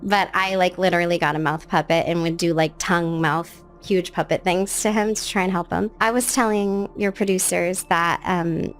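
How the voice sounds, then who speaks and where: A woman in her late thirties, a guest in a casual interview, with a naturally high, sing-song voice that jumps up into bright baby-talk tones when she quotes. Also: steady tone, normal speed, hardly any pauses. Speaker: guest, children's show creator.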